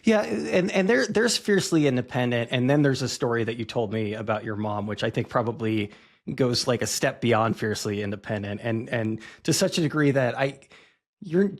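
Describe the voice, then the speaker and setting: A man hosting a podcast interview, asking thoughtful questions and rephrasing as he goes. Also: medium pitch. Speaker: podcast host. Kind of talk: conversational interview.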